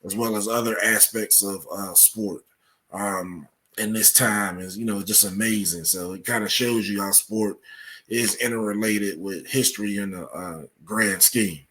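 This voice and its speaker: A man explaining his research as an interview guest, with halting, measured phrasing. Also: lowish voice, steady tone, a bit bright, poor audio.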